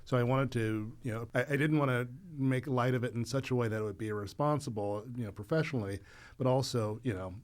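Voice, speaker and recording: A man speaking as a podcast guest in a casual interview, measured and thoughtful. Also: medium pitch. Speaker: podcast guest, cast member.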